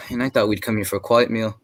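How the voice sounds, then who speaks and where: A man as a fast-talking, genial English sidekick. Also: fairly low pitch, fairly even intonation, no echo, lightly acted. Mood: startled, disbelieving. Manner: earnest, exclamatory. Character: genial, easily shocked English gentleman, the detective's loyal companion. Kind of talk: 1940s radio drama acting.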